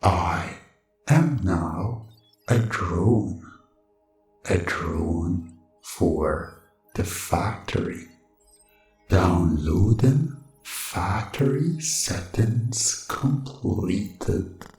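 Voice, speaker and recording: A man's voice: low-pitched, animated, extremely slow, pauses often, a little mellow, heavily acted. A man voicing a cold, emotionless factory machine in a hypnosis audio roleplay, giving clipped, mechanical announcements in short phrases. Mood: blank, obedient. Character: cold robotic male factory programming voice, commanding, emotionless. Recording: echoing voice over music.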